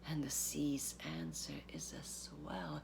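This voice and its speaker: A woman giving a literary reading, with deliberate, measured phrasing, long pauses and a breathy, gravelly voice. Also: extremely low pitch, sing-song, in a whisper. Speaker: artistic director of a theatre, reading aloud.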